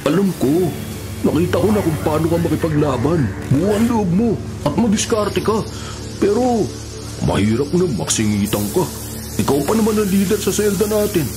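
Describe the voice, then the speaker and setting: Insistent scheming convict, music behind. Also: male voice, sits high, sing-song, normal speed. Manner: insistent, conspiratorial. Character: loyal, scheming male convict, eager for violence. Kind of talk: radio drama acting.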